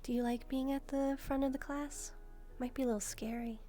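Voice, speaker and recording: A gentle young demon maid played by a woman speaks in a high, mellow voice with music clearly behind her. She is devoted and softly teasing, musing aloud to her master in an intimate video roleplay. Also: fairly even intonation, a little fast, lightly acted. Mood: curious. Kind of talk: soft-spoken roleplay addressed to the listener. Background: background music.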